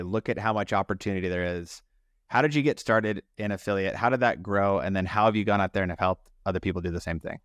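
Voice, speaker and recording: A man's voice: fairly low pitch, no room sound, studio quality. A man hosting a podcast, talking in an emphatic motivational style and repeating phrases to drive points home. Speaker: podcast host.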